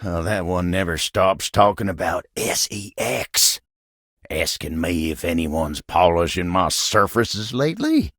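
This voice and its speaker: Gravelly, drawling old-fashioned cowboy table. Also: male voice, low-pitched, lively intonation, unhurried, fairly bright tone, dry recording, studio quality, full character voice. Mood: flustered grumbling. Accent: American Southern/Western drawl. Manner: folksy, rambling, flustered hesitations. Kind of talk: video game character dialogue.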